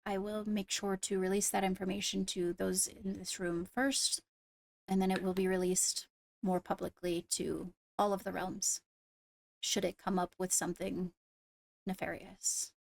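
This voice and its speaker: Creaky-voiced woman playing a poised royal ruler. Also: mid-range voice, flat delivery, no room sound. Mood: grave, measured. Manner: measured, formal, hesitant between phrases. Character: poised female royal ruler addressing her court. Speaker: leader of the meeting. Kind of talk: improvised Minecraft roleplay.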